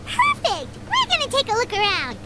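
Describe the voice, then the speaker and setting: A woman's voice: very high-pitched, huge pitch swings, moderate pace, very noisy, exaggerated voice. A woman voicing a small, bubbly, non-human female companion in a game who talks about herself in the third person. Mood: excited. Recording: game music behind.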